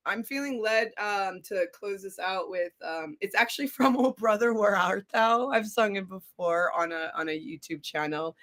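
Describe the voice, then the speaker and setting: Hoarse, chatty woman. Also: mid-range voice, a bit bright. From Russia. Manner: chatty, laughs often, halting restarts. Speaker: guest. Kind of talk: casual live-stream chat with singing.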